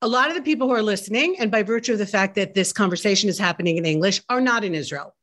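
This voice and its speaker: A woman's voice: medium pitch, very fast, a bit bright. A woman with a mid-range voice hosts a webinar conversation. She sets up her questions at length, piling on examples before asking. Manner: probing, builds long questions. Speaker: host. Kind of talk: interview on a webinar.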